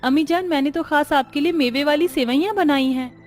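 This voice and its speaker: Theatrical young daughter-in-law played by a woman, very high voice, courteous formal phrasing, family story. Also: brisk, a little mellow, faint music behind, close to an everyday voice. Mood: polite. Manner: courteous formal Urdu-flavoured wording.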